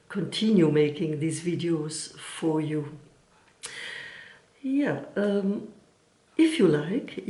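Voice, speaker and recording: Flemish-accented woman, non-native English, gentle craft-vlog host, lowish voice, roomy echo. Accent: Dutch-speaking Belgian. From Belgium. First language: Dutch. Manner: gentle, searching for words, unhurried. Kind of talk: casual chat to camera.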